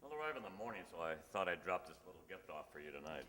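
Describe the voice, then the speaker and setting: Shy, low-voiced bookish teacher played by a man, echoey hall. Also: big pitch swings, fairly bright tone. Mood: earnest. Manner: earnest, halting, pedantic. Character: shy, oblivious male biology teacher. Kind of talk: radio sitcom acting. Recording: echoey hall, noisy background.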